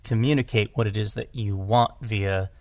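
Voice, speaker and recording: A man speaks casually in a low voice with noticeable creak, recorded dry. He discusses things as a podcast guest, restarting and repeating words as he works out what he means. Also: steady tone, moderate pace, a little mellow, no room sound. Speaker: podcast guest, audio drama director.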